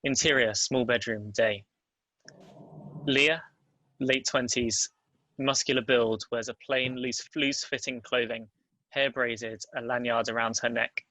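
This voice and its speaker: A man's voice: mid-range voice, steady tone, a little slow, sharp tone, no echo, rough recording. A man reading out stage directions as the narrator of a script table read.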